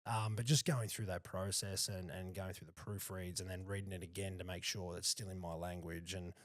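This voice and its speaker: Husky-voiced Australian man, croaky and blunt. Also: low-pitched, level tone, fairly quick, no room sound, clean studio sound. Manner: blunt, swears freely, laughs easily. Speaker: podcast guest, author. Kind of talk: casual chat.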